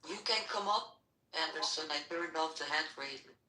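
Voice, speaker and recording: A woman's voice: sits low, normal speed, bright, forceful tone, roomy sound, slight background noise. A woman who speaks English as a second language, moderating a casual online discussion in a plain, direct way. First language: not English. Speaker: room moderator.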